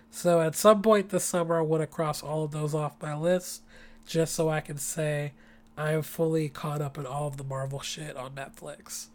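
A podcast host talks alone from home in a loose, rambling way, full of slang and enthusiasm. The voice is hoarse and sits in a middle pitch. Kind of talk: casual solo podcast chat. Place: home apartment.

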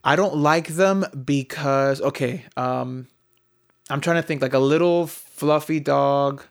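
A man's voice: a little high, takes it slowly, gravelly, clear, resonant tone. A man co-hosting a talk show in casual, opinionated banter, speaking bluntly with jokes and swearing.

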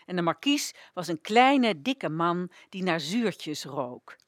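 A woman narrating a story for a radio play in an expressive, deliberate way. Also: fairly low pitch, no echo, studio quality.

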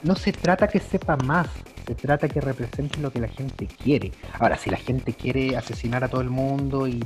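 A man's voice: medium pitch, moderate pace, over music. A man with a Chilean accent in casual political chat, emphatic and argumentative.

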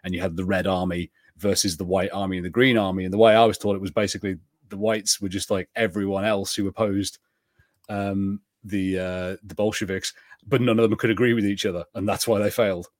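A man's voice: low voice, level tone, brisk, dry recording, clean studio sound. A man co-hosting a podcast, chatting casually and rambling with many fillers and restarts. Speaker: podcast co-host. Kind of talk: casual chat.

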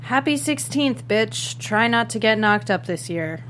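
A woman's voice: fairly high pitch, animated, unhurried, a bit bright, a little hiss or hum. A woman actor speaking and reading lines at a table read. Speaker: actor reading a script aloud.

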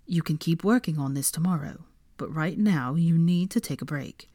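A woman's voice: low-pitched, normal speed, dark tone, dry recording, clean studio sound. Woman playing a firm, cool young male wizard. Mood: firm, protective.

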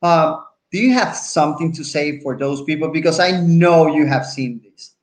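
A man's voice: fairly high pitch, normal speed. Puerto Rican man interviewing, echoey room. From Puerto Rico. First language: Spanish. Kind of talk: casual interview chat.

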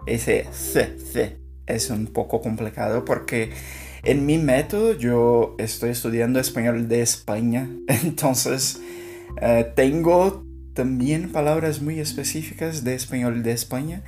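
A Brazilian Portuguese speaker learning Spanish, talking in a halting way, searching for words and laughing softly. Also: mid-range voice, soft background music. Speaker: language learner. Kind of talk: casual spoken practice monologue.

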